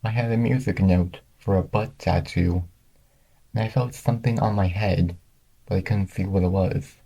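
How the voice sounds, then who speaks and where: A man's voice: low-pitched, steady tone, normal speed, vocal fry, dark tone, husky, low-quality recording. A man narrating first-person fan fiction, reading it aloud as a storyteller.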